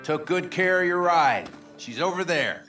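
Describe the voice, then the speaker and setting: A man's voice: fairly high pitch, animated, a little slow, pushed and bright, far from the mic, faint music behind. An actor playing a friendly, caring nomad man in a video game, speaking with casual familiarity. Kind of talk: naturalistic video game acting.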